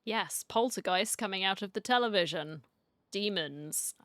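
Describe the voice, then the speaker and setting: A woman streamer with a bright, forceful voice at a medium pitch. She reacts to a game in a casual, sympathetic way, recorded dry with no room sound. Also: measured pace, no room sound. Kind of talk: casual reaction commentary while playing.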